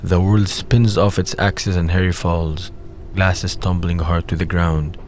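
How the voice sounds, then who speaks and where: A man's voice: sits low, level tone, measured pace. A man reading scripted scene narration for an audio drama. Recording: faint background noise.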